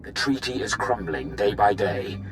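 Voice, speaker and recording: An actor playing a grave, commanding Sith warlord in a video game, with a solemn, measured, imperious delivery. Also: male voice, lowish voice, animated, music in the background, lightly acted. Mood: grave authority. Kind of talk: dramatic video game acting.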